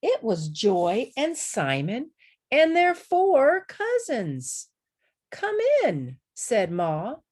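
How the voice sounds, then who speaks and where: A woman's voice: fairly high pitch, huge pitch swings, very slow, a little mellow. A woman reading a picture story aloud expressively for children. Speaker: storybook reader.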